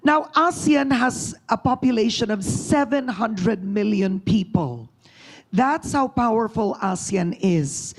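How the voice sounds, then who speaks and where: Slow, engaging woman moderating a panel. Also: mid-range voice, darkish tone. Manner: engaging, addresses the audience directly. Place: forum room before an audience.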